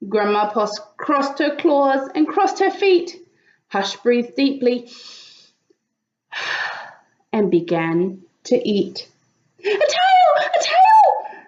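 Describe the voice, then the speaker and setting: A woman reading a picture book aloud as an expressive storyteller, with dramatic emphasis for young listeners. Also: fairly high pitch, extremely slow, long pauses, muffled recording. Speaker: children's story reader.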